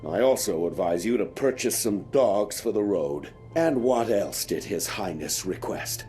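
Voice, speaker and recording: An actor playing a pompous, sardonic, grumbling prison warden in a fantasy video game. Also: male voice, fairly low pitch, expressive, a little slow, dark tone, soft background music. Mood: grudging, wry.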